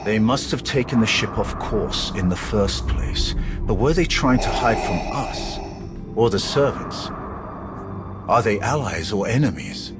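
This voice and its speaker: Ruthless Sith warrior, deep voice, slow contemptuous delivery, grave formal diction, video game drama, loud music behind. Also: male voice, animated. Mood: cold, wary.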